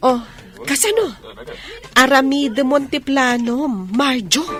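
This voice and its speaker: An actress playing an excitable, nosy friend in a radio comedy drama, with an exclamatory delivery. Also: female voice, high voice, slow pace. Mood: curious.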